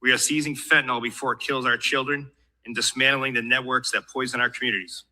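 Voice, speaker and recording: A man with an American accent delivers a prepared official statement. His voice is very bright and forceful, and his delivery is formal and measured. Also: mid-range voice, steady tone, rough recording. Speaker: government law enforcement official.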